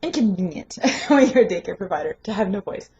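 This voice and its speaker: Hoarse woman chatting in a home vlog. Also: medium pitch, animated, a little fast, warm tone, muffled recording, a little hiss or hum. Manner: rambling, laughs often. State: hoarse, voice not fully recovered. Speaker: vlogger and home daycare provider. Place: her home, indoors.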